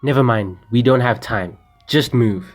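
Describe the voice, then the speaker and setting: A man's voice: mid-range voice, a little slow, natural voice. A young man acting a commanding, impatient armed bank robber in an amateur radio drama. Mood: irritated, urgent. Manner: commanding, curt. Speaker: student actor.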